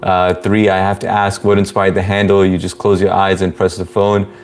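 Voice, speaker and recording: A very low-voiced man hosts a relaxed live stream, reading viewer comments aloud and shouting out names. His delivery is flat and monotone, broken by easy chuckles. A faint hum sits under the recording. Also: normal speed. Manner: laid-back, reads chat aloud, chuckles often.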